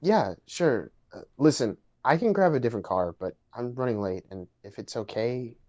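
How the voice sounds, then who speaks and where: A man's voice: mid-range voice, expressive, moderate pace, a little mellow, natural voice. A man playing an awkward, chatty young man in a casual dramatic reading, hesitant and stammering. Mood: nervous, polite. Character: awkward, chatty young man named Bartram on a blind date.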